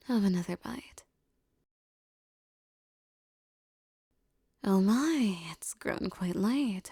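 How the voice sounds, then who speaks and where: A woman playing a regal, possessive empress who coaxes and teases a captive servant in soft, condescending tones, often near a whisper, in an intimate roleplay audio. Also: mid-range voice, vocal fry, breathy edge, no echo, studio quality, full character voice. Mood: amused, soothing.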